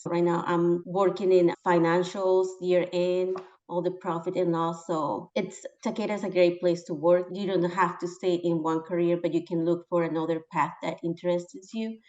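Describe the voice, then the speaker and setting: Casting: woman, earnest panel intro, slightly low voice, dull lo-fi sound. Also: a little mellow. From Colombia. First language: Spanish. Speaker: panelist, financial analyst.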